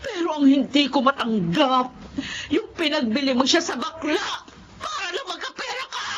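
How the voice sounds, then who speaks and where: A woman's voice: high-pitched, big pitch swings, moderate pace, background noise. An actress playing an aggrieved Filipina mother in a radio drama, confronting a friend who betrayed her. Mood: bitter, outraged. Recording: dull radio sound with music underneath.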